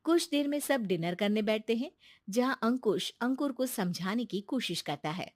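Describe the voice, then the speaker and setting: Woman, story narrator, calm and even, slightly high voice, dry close recording. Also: moderate pace, dry recording.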